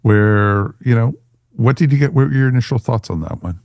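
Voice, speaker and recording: A man's voice: mid-range voice, expressive, brisk, gravelly, darkish tone, dry recording, studio quality. A man hosting a casual review discussion, conversational and opinionated. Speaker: podcast host.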